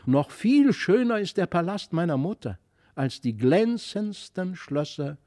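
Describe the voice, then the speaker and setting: Guy doing a dreamy earnest fairy kid from a storybook, highish voice, soft dark tone, very dry sound. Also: very animated, measured pace, no room sound. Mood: proud, wondering. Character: mysterious gentle fairy child, earnest and wistful. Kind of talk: literary audiobook reading.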